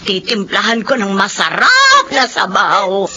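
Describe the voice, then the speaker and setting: A woman's voice: mid-range voice, wildly swooping pitch, a little slow. A woman playing a crafty, querulous old Filipino grandmother in a radio drama, with a Filipino accent. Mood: grasping. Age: older. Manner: querulous, exclaiming. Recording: radio drama with faint noise.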